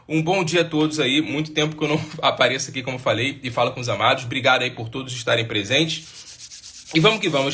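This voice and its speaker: Man, live-stream host, bright tense voice, casual and upbeat. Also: fairly high pitch. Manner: upbeat, repeats catchphrases.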